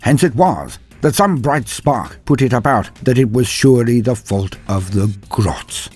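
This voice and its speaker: A man's voice: mid-range voice, expressive, a little slow, darkish tone, husky, faint music behind. Theatrical man narrating mock-epic tales, swooping delivery. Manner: theatrical, grandiose, wry, with archaic flowery diction. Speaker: storyteller, channel narrator. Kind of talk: mock-epic scripted narration of a comic fantasy tale.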